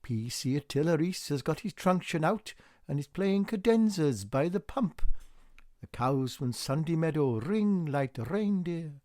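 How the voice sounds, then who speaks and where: A man voicing a frantic, excitable village organist, alarmed and declamatory in a radio play. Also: on the high side, unhurried, no echo, studio quality, pitched above the performer's own voice. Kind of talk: radio play verse drama.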